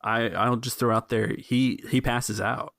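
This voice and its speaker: A man's voice: mid-range voice, steady tone, measured pace, a bit bright, dry recording, studio quality. A man hosting and running a tabletop role-playing game, narrating scenes and rules in a casual podcast style. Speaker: game master and show host.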